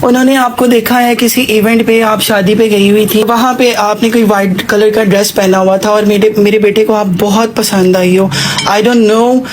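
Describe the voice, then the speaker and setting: A well-off matchmaking auntie, put on by a woman for a prank call, coaxing and persuasive in a mid-pitched voice. She drops English words into her talk. There is some noise behind her. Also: fairly even intonation, normal speed, close to an everyday voice. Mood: polite, coaxing. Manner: auntie-like, persuasive, addresses listener as child, English words mixed in. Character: well-off mother from UK seeking a bride, coaxing. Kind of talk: acted prank phone call.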